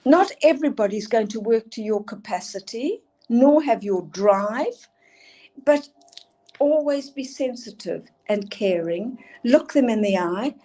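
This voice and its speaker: South African woman, older, mellow-voiced speaker. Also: on the high side, lively intonation, unhurried. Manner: earnest, emphatic, asks for next slides. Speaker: school leadership speaker. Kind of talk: presenting slides in a talk.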